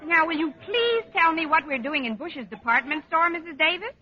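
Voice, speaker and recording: An actress playing a wry, sarcastic schoolteacher in 1940s radio comedy. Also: female voice, very high voice, lively intonation, a little fast, crowd noise. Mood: exasperated, curious. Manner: dry, wisecracking. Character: wry, sarcastic, quick-witted female schoolteacher. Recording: noisy, dull vintage radio recording.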